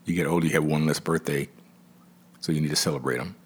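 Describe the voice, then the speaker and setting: A man's voice: a bass voice, fairly even intonation, fast talker, a little hiss or hum. A middle-aged American man chatting casually as a co-host, dry and teasing, with some swearing. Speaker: podcast co-host. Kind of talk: casual chat.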